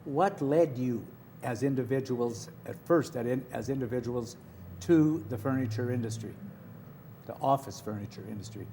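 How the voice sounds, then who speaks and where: A man hosts a local TV interview show, chatty and rambling, often circling back on his own questions. His voice is mellow and warm at a middle pitch. There is faint studio ambience behind him. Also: moderate pace, a little hiss or hum. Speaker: TV talk show host.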